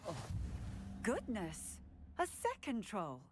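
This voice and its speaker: A brisk British woman officer from a fantasy game. Her mid-pitched voice is emphatic and authoritative, with lively rises and falls. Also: background noise. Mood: amazed, grateful. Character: brisk, plain-spoken woman village officer of magic. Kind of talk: video game dialogue.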